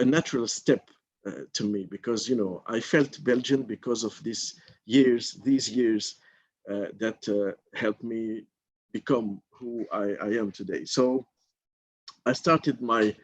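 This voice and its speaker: A man from Morocco who served as a member of parliament, giving a thoughtful talk at a mentorship forum, stopping often on fillers. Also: medium pitch. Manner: thoughtful, hesitant with many fillers. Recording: slightly dull lo-fi audio.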